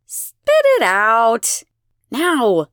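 A woman's voice: mid-range voice, sing-song, slow pace, no room sound, studio quality. A woman voicing a cruel, volatile fire goddess in an audiobook, mocking, imperious and theatrical. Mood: irritated. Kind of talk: audiobook character voice.

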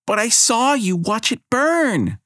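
A man voicing a haughty, sharp-tongued young fire goddess in a storybook reading, snippy and mocking. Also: very high voice, big pitch swings, slow pace, dry recording, studio quality, voice pushed up, full character voice. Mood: indignant.

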